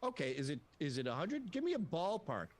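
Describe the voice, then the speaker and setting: A man in a heated online debate, combative, crude and quick to interrupt. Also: fairly high pitch, wildly swooping pitch. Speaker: debate guest.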